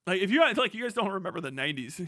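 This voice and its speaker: A man in his thirties chatting casually as a livestream host, swearing freely and riffing in a sarcastic, animated way. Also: high voice, racing, no room sound, clean studio sound. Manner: profane, animated, sarcastic ranting.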